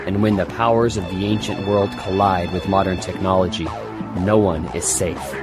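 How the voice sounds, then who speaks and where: A man's voice: deep voice, fairly even intonation, moderate pace, natural voice. A man playing a grave, determined New York police forensic detective in a dramatic audiobook trailer. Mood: grave, haunted. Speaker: promo narrator. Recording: loud music under the voice.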